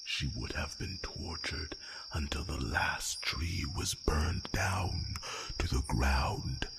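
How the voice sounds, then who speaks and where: Very deep, gravelly old dragon. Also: male voice, whispered, heavily acted. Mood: reflective storytelling. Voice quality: deep gravelly, breathy rasp. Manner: slow, halting, solemn delivery. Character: old male dragon, grave, reflective, slightly grumpy storyteller. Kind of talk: fantasy roleplay audio drama, ASMR. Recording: loud noise bed of night crickets.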